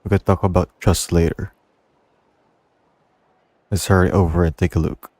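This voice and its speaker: A man's voice: deep voice, flat delivery, normal speed, a little mellow, dry recording. A man giving casual commentary as he plays through a game, speaking into a close mic.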